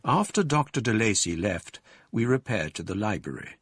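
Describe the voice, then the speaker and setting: A man narrating his own past in a measured, storytelling way, as in a radio drama. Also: on the low side, very animated, breathy edge, dry recording, studio quality. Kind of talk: scripted first-person narration in a radio drama.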